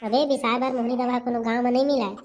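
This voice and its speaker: Woman as a high-pitched, bossy village boy. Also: fairly even intonation, fast, darkish tone, a little hiss or hum, natural voice. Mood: scheming. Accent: Chhattisgarhi. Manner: bossy, scolding. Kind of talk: comic cartoon voice acting.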